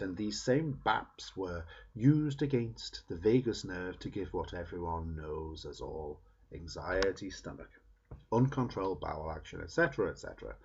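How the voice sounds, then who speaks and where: A man reads nonfiction aloud in a very low register. His voice is husky and a little unclear in tone. The recording is dull and lo-fi, like a homemade audiobook. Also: darkish tone. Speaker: amateur book reader. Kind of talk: read-aloud nonfiction narration.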